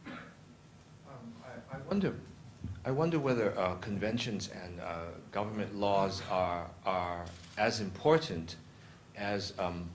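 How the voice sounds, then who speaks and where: A man introducing a panel at a conference and asking questions, halting with many ums and uhs. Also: fairly low pitch, a little hiss or hum. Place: conference hall.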